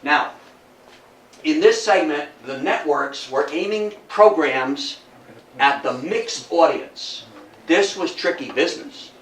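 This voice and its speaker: An older man gives an informal talk to a group in an echoey room, picked up at some distance from the mic. He tells it like an animated storyteller, repeating phrases for emphasis. His voice sits a little high for a man, with some noise behind it. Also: slow. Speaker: lecturer at a historical society talk. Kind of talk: informal lecture to an audience. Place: indoor talk room with audience.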